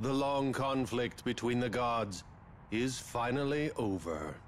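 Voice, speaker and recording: A man playing a cold, scheming, grandiose villain in a video game, with a pompous, measured, ominous manner. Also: medium pitch, a little hiss or hum, lightly acted. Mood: solemn. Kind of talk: dramatic video game voice acting. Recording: loud music behind the voice.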